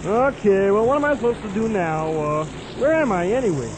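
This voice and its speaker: Young salesman, radio comedy, played by a man: high, animated, naive, hesitant and stammering. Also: a little fast, noisy background. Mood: irritated. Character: eager, nervous, naive young travelling salesman. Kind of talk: comic radio play acting.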